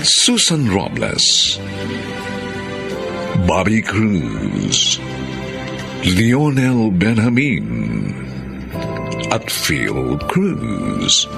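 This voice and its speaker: Slow, deep-voiced male radio drama narrator. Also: gravelly. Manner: solemn, dramatic delivery. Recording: music bed under the voice. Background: music.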